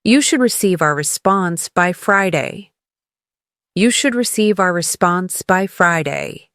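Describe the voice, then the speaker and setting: A woman leading a scripted English lesson as a teacher, encouraging the learner and clearly enunciating model phrases for repetition. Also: fairly low pitch, expressive, takes it slowly, fairly bright tone, no echo, studio quality. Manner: encouraging coaching.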